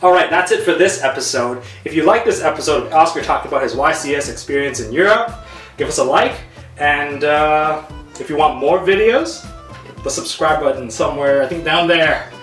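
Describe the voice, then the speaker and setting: A man's voice: on the high side, expressive, normal speed, fairly bright tone, soft background music. A man hosting an interview, asking questions with frequent hesitant fillers. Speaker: host. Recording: echoey room from far off.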